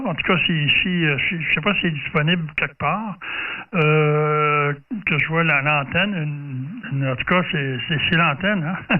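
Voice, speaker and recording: A man with a Quebec French accent chatting casually as an amateur radio operator, in a halting, hesitant way. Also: a little high. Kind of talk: casual radio contact chat. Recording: tinny band-limited radio audio, distant from mic.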